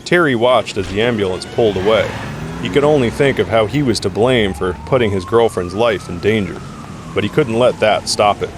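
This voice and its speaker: A man's voice: lowish voice, a little fast, noisy background. A man reading dramatic scripted narration for an action audio drama.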